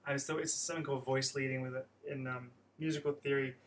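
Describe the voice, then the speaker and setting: A man's voice: mid-range voice, little pitch movement, quick pace, a little hiss or hum. A young man teaching guitar on a live stream, explaining chords in a friendly, rambling way with many restarts. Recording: guitar playing behind voice.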